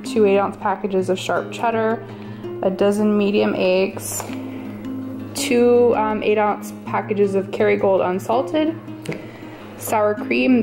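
A woman casually narrating a grocery haul, listing items with quick asides. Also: mid-range voice, vocal fry. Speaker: vlogger showing a grocery haul. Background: guitar music.

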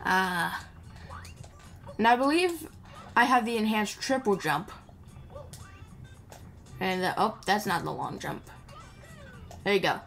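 A woman chats casually as she plays a video game, commenting off the cuff in a playful manner. Her voice sits at a middling pitch, with game music running behind it. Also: vocal fry, fairly bright tone. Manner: chatty, playful, mumbles asides to the game character. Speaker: gamer hosting a playthrough. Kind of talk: casual improvised gameplay commentary. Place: indoor voice-over recorded over game audio.

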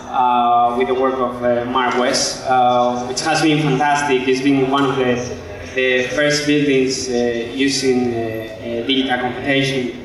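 A man in his thirties from Spain with a Spanish accent, giving an informal lecture in halting phrases over a handheld microphone. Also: mid-range voice. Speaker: guest lecturer, architect and educator. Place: open-sided stage before an audience. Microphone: handheld microphone through a PA. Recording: very echoey, distant from the microphone.

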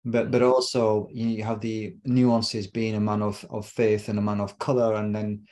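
Man in his 50s, lowish voice, thoughtful, repeats words as he goes, video call from home. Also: flat delivery, moderate pace, darkish tone. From Britain. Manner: reflective, repeats words while searching for phrasing. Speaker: relationship coach guest. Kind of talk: casual interview talk. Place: home room with bookshelves.

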